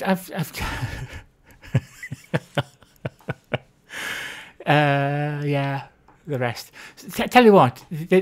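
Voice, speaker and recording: A live streamer with a Swansea Welsh accent chatting casually, reading viewers' comments and joking at their own expense. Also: mid-range voice. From Wales. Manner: chatty, self-deprecating, reads chat aloud.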